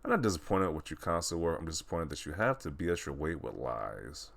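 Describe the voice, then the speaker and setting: A man's voice: rumbling low, expressive, fast, vocal fry, a bit bright. A man doing casual reaction commentary in a mocking, incredulous way. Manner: mocking, incredulous, often chuckling. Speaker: video host commentator. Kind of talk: casual commentary, reacting to social media posts.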